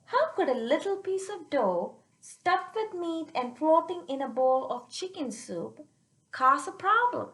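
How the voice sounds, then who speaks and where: A woman reading a picture book aloud to children, pausing to explain it simply and check they follow, in a roomy recording. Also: high-pitched. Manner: animated, checks understanding with listeners.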